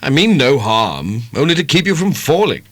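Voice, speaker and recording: Man acting an arrogant gentleman seducer. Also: medium pitch, big pitch swings, moderate pace, dry recording, pitched above the performer's own voice. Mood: affronted, coaxing. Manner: insistent, haughty.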